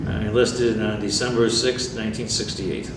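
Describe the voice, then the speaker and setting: Older male veteran, low voice, measured and dry; roomy, distant, noisy recording. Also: fairly even intonation. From New York State. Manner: plainspoken, dry humor, measured. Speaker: veteran interviewee. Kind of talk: oral history interview. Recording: echoey room, far mic, background noise.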